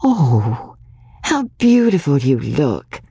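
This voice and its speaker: A woman's voice: low voice, sing-song, fast, mellow, slightly rough, no echo, studio quality, heavily acted. A woman voicing a spoiled, dramatic young actress daughter with breathless, childlike pleading, in a storybook reading. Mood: admiring.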